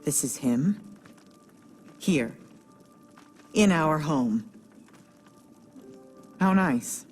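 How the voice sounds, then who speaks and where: Cold, proud goddess. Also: female voice, low voice, expressive, extremely slow, a little mellow, heavily acted. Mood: cold disdain. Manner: clipped, icily sarcastic. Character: cold, proud goddess, a disapproving household matriarch. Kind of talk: video game cutscene acting. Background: background music.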